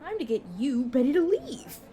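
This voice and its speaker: A woman playing a brisk, protective vampire mentor in an audio roleplay, talking casually and teasingly to a new fledgling. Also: high voice, big pitch swings, a crowd in the background. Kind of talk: narrative audio roleplay, addressed to the listener.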